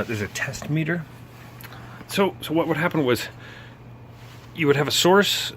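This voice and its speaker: A man giving a casual, unscripted technical walkthrough, halting and thinking aloud as he explains. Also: mid-range voice, a bit bright, a little hiss or hum. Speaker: presenter explaining vintage broadcast gear.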